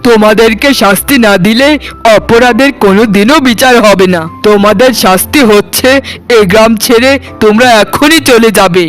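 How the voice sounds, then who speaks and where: A righteous young cartoon nobleman, played by a man, speaks in a notably high male voice. He is formal, declamatory and protective, with music running underneath. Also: normal speed, fairly bright tone. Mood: stern, angry. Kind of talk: Bengali cartoon voice acting.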